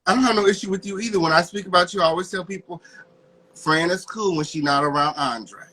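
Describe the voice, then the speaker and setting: A man's voice: high-pitched, fast, pushed and bright, a little hiss or hum, low-quality recording. An American man in casual, gossipy confrontation, sassy and slangy, in the role of a talk host. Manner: sassy, slangy, addresses listener as girl.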